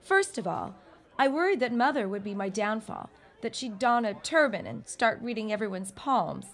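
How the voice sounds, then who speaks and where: A woman's voice: on the high side, very animated, moderate pace, faint music behind. A woman playing an anxious, talkative 1910s bride-to-be in a period audio drama, wry and exasperated. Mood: exasperated gratitude. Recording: party crowd chatter behind.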